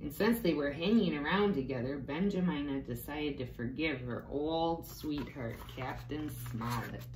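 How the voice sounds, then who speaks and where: Woman, low voice, unhurried pace, expressive children's story time, explanatory asides. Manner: expressive, explains pictures to young listeners. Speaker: teaching assistant reading a storybook to children. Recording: echoey room with faint background noise.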